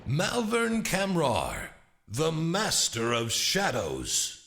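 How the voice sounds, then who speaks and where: A man's voice: a little high, wildly swooping pitch, very slow, creaky, a bit bright, a little hiss or hum, full character voice. A man playing a formal, grandiose and menacing stage magician in a dramatized audiobook. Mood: ominous showmanship. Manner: formal, courteous, grandiose showman. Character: theatrical, menacing stage magician, master of shadows.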